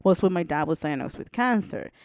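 A woman's voice: on the low side, animated, fairly quick, crowd noise. A woman in her forties from El Salvador, co-hosting a podcast in a chatty, casual way with lots of fillers and laughter. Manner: chatty, laughs often, many fillers like "you know". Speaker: podcast co-host. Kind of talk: casual conversational storytelling.

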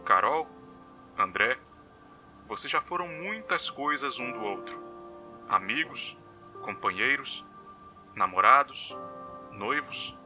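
An actor with a Brazilian accent playing a solemn wedding officiant, speaking in a formal, measured, ceremonial way. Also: male voice, mid-range voice, expressive, bright, forceful tone, heavily acted. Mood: solemn, celebratory. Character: solemn male wedding officiant addressing guests. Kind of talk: ceremonial speech in audio drama. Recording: music under the voice.

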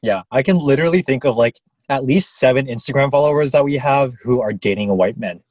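A man's voice: medium pitch, quick pace, darkish tone, dry recording. A young man co-hosting a podcast in casual chat, sassy and teasing. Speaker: podcast co-host.

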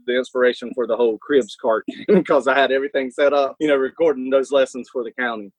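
A man's voice: medium pitch, fairly even intonation, quick pace, slightly rough, no room sound, poor audio. A man who teaches elementary PE, talking in an easygoing way with lots of fillers. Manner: easygoing, lots of "you know". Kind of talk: casual interview answers.

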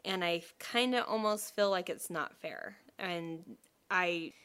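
A woman's voice: fairly low pitch, slow pace, a bit bright, clear, resonant tone. A woman co-hosting a podcast, talking in a chatty, thoughtful way and laughing easily. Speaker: podcast co-host. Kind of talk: casual podcast chat.

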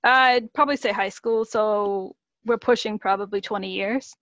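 A woman in her thirties from Massachusetts, a guest singer-songwriter, explains her work in a measured, steady way. Her audio is dry and a little dull. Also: mid-range voice, dry recording. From Massachusetts, USA. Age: 30s. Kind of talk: interview answers.

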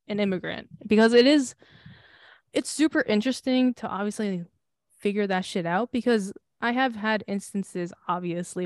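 A young woman co-hosting a casual podcast, talking in long, loose, candid stretches. She swears often and laughs easily. Her pitch sits in the middle for a woman, and the recording is dry and close. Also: dry recording. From United States. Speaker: podcast co-host. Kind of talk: casual chat.

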